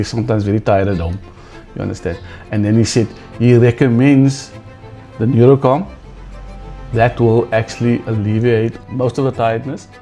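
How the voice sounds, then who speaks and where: A man of fifty-eight giving a testimonial in an earnest, plain-spoken way, halting and repeating words. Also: fairly low pitch, mellow. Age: 50s. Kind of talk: testimonial interview.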